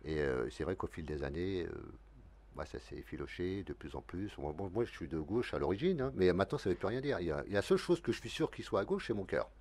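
A man in his fifties speaks quickly and in a very low voice, rambling and restarting his sentences as he debates. He sits at a desk in a dark TV studio, talking into a desk mic. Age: 50s. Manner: rambling, animated, many restarts. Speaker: local election candidate. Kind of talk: televised debate.

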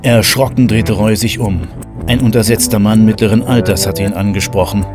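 A male narrator tells a scary story as scripted audio drama. His voice is on the low side, with music clearly playing behind it. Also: fairly even intonation, moderate pace.

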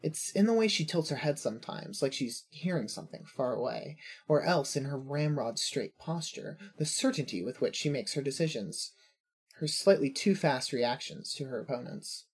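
Fiction podcast narrator, androgynous mid-pitched voice, heavily husky, reading scripted prose. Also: animated, moderate pace.

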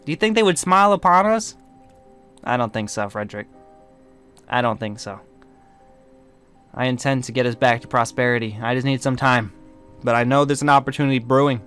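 A man's voice: medium pitch, animated, brisk, pauses often, fairly bright tone, clear, resonant tone, soft background music, natural voice. A man playing a bitter, proud, suspicious warlock leader in an amateur game roleplay, brooding dramatically and snapping back with sassy slang. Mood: bitter, frustrated.

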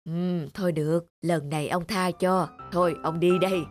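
A woman voicing a stern but kindly old grandfather bear in a children's cartoon, with a measured, admonishing manner. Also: on the low side, fairly even intonation, music in the background, close to an everyday voice. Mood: forgiving. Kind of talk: Vietnamese children's cartoon dubbing.

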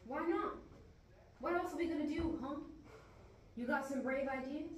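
Woman acting high-pitched, paranoid, volatile brother, echoey. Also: normal speed, natural voice. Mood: confrontational. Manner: agitated, pleading, insistent, repeating phrases. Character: paranoid, volatile, reclusive adult brother named Jake. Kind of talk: stage scene acting. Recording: echoey room, distant mic, faint noise.

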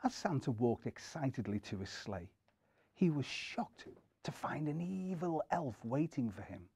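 A middle-aged man reading a children's story aloud in an expressive storytelling style. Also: mid-range voice, a little slow, vocal fry, breathy edge, dry recording. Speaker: story reader.

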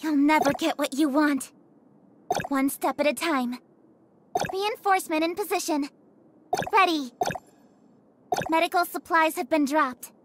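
High sweet young nurse game character, soft n polite, earnest, bit sad, faint noise behind. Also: female voice, unhurried, pauses often. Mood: urgent, commanding. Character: gentle, earnest young female nurse, caring and melancholy. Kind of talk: video game character voice lines.

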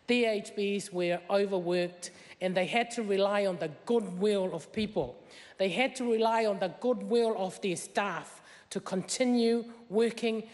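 A woman's voice: medium pitch, darkish tone. A middle-aged woman whose first language is Tongan, giving an earnest parliamentary speech at a desk mic, with many fillers and rising emphasis. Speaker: member of parliament. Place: large wood-panelled debating chamber. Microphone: desk microphone. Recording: long chamber reverb.

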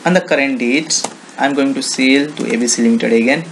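A man with an Indian accent demonstrating software in an informal screen-recorded tutorial, with non-native English phrasing and frequent repetition. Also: mid-range voice, brisk, vocal fry. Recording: noisy home-made screen recording.

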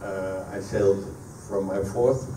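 A middle-aged man from the Netherlands with a Dutch accent and native Dutch, answering audience questions at a talk, often pausing mid-sentence. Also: low voice, fairly even intonation, mellow. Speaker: guest speaker, sailor. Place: meeting room at a sailing club. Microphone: handheld PA microphone, recorded by a camera across the room. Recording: echoey room, distant camera microphone, noisy.

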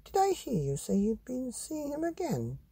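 A concerned storybook mother, put on by a man, questioning and probing. The pitch is lifted high and swoops about, with a husky edge. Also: huge pitch swings, moderate pace, mellow, dry recording, full character voice.

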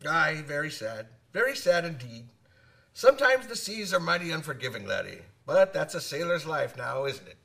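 Gravelly, animated old sailor. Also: male voice, a little high, normal speed, far from the mic, heavily acted. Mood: rueful, hearty. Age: older. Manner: nautical old-salt talk, calls listener laddie. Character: talkative old sailor, hearty teller of sea tales. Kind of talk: radio drama acting.

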